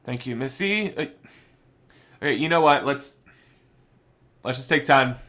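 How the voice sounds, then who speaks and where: Harried, stammering director; mid-pitched and exasperated. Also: male voice, expressive, a little slow. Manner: stammering, exasperated, bursts into yelling. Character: harried young male director, flustered and stammering. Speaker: director of the radio play, Sebastian.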